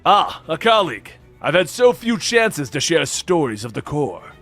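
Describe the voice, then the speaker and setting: A man's voice: a little high, sing-song, normal speed, a bit bright, soft background music, full character voice. A man voicing a haughty, sneering alien tyrant villain in a theatrical, bellowing manner for a comic book story. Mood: smug, welcoming.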